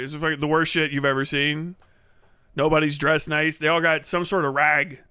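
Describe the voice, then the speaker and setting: A man doing a casual, profane comedic rant on a livestream, animated and slipping into mocking impressions. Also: fairly high pitch, smooth, dry recording, studio quality. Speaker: streamer.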